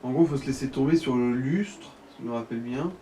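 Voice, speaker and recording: A man with a dark, relaxed voice chats casually alongside a gamer. He explains what is coming in an offhand way, with faint noise in the background. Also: mid-range voice, fairly quick. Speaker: co-commentator advising the player. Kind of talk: casual chat during gameplay.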